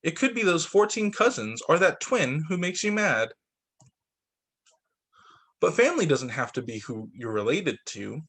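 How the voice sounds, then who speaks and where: Animated male teacher reading aloud. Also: a little high, unhurried, pauses often, breathy edge. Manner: expressive, comments aloud on the pictures. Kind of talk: picture-book read-aloud with off-the-cuff remarks.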